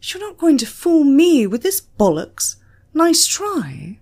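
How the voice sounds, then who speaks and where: An indignant young British male student in an audio story, acted by a woman narrator. He sounds scornful yet cornered, with a voice that swings widely in pitch. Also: big pitch swings, slow, a little mellow, exaggerated voice.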